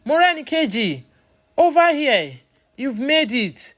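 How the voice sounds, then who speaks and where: A woman's voice: fairly low pitch, animated, unhurried. A woman voicing a deceitful young man in a story, outwardly friendly and glib, with a Nigerian accent. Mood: eager, welcoming.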